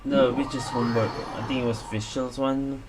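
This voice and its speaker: Female gameplay streamer: very deep voice, slow casual reactions, noisy mic. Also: fairly even intonation. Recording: noisy mic with game audio.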